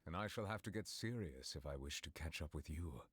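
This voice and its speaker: Deep, courteous, dignified chief justice. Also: male voice, expressive, breathy edge, dry recording, heavily acted. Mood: composed, resolute. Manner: formal, measured, gentlemanly. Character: courteous, reserved, dignified male chief justice from fantasy game. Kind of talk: scripted game character voice lines.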